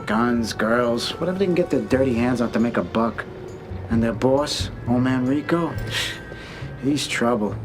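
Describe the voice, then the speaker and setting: An actor playing a brash, streetwise gangster sidekick in a video game cutscene, talking in loose slang. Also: male voice, medium pitch, natural voice. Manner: slangy, animated.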